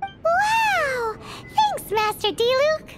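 A tiny game fairy with an extremely high, squeaky child's voice. She is excitable and exclaims in lively, swooping tones, with prominent music underneath. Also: very slow, full character voice. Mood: delighted. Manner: excitable, exclamatory, refers to herself in third person. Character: tiny excitable floating fairy girl companion. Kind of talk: video game character acting.

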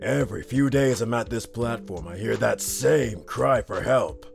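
A dismissive middle-aged businessman, played by a man with a gruff, creaky voice. He speaks slowly and curtly, full of scorn, like a cartoon grouch. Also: medium pitch. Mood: gruff, scornful. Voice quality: gruff, gravelly. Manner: curt, scornful. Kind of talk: animated comic dub. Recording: music behind the voice.

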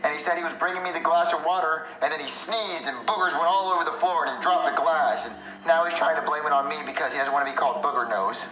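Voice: mid-range voice, fast, few pauses, echoey room, close to an everyday voice, rough recording. An actor voicing a whiny, stubborn young boy turtle puppet who nags in a comedy skit. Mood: sly. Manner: whiny, stubborn, loudly nagging.

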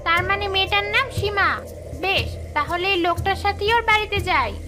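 Children's cartoon villain: menacing female ghost, piercingly high voice, hungry and wicked, music behind. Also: normal speed, pushed and bright. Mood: scheming, craving. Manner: gloating, menacing.

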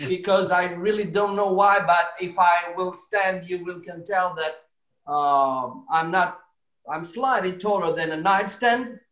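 Slow deadpan Romanian-accented man. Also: high-pitched, dull audio. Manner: slow deadpan delivery, chuckling at own jokes. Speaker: comedian. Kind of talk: deadpan stand-up comedy and comic song at piano. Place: small room at home with piano. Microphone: webcam at desk distance. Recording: roomy echo, piano behind.

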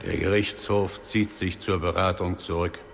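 Deep grave judge of the dead. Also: male voice, flat delivery, moderate pace, noisy background. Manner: formal, declamatory. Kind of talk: theatrical radio drama. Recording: long reverberant hall.

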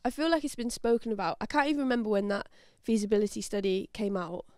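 A woman in her thirties co-hosting a casual chat show, enthusiastic and quick to react, on a handheld mic in a studio. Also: medium pitch, darkish tone, clean tone, no echo. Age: 30s. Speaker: podcast co-host. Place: red studio set, indoors.

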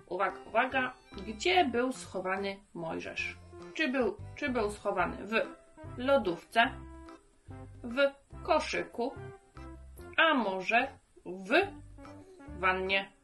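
Woman voicing a playful firefighter puppet, slow and emphatic. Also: medium pitch, expressive, fairly bright tone, faint music behind. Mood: playful quizzing. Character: Kuba, chatty male firefighter hand puppet.

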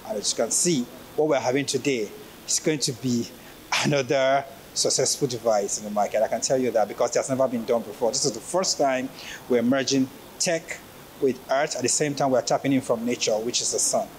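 A man's voice: medium pitch, a little fast, poor audio. A middle-aged man with a Nigerian accent speaking as a company spokesman in an interview at an indoor event. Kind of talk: interview soundbite. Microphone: handheld reporter microphone. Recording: faint event background noise.

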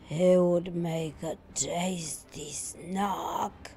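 A woman's voice: fairly low pitch, steady tone, very slow, warm tone. A woman voicing a ferocious, scheming male storybook wolf, sly and savouring every word. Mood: sly, hungry. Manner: sly, savouring his words. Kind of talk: storybook character voice.

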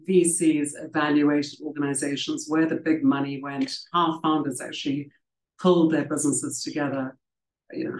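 A middle-aged woman, raised in South Africa, telling her career story at a book festival in a conversational, reflective way with frequent ums and restarts, speaking over a video call from home. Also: low voice. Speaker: author and leadership coach. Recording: roomy video call audio.

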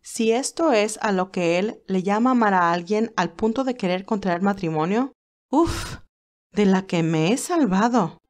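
A woman's voice: medium pitch, animated, a little slow, close to an everyday voice. A woman voicing a haughty, scornful rich young woman, annoyed and exasperated, in an audio story.

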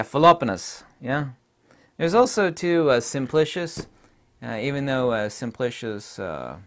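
A middle-aged man hosting a casual book-recommendation talk from his home studio desk, emphatic and opinionated, his voice a little deep from allergies, on a lapel mic. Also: a bit bright. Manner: emphatic and opinionated, trails off with fillers. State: voice deepened by allergies. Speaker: book reviewer and channel host.

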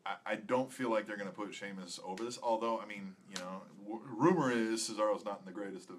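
A man's voice: lowish voice, fast, slight background noise. A young adult man co-hosting a podcast, talking casually as he gives his predictions. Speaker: podcast co-host. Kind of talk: casual wrestling predictions chat. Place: small indoor studio with acoustic foam panels.

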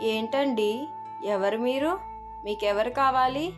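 A woman's voice: a little high, slow pace, music in the background. A woman voicing a polite, meek young daughter-in-law with a respectful manner in a moral story.

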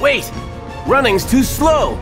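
A man playing a brave, kindly young muscular Greek hero, earnest and heroic, in an animated game. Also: extremely high pitch, slow, over music, exaggerated voice. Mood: urgent. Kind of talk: animated game cutscene acting.

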